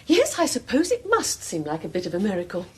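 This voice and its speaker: Anxious British young wife, husky and highly animated. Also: female voice, fairly quick, exaggerated voice. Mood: bright, strained. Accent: British English. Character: anxious, secretive young wife in a country house. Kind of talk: radio drama acting.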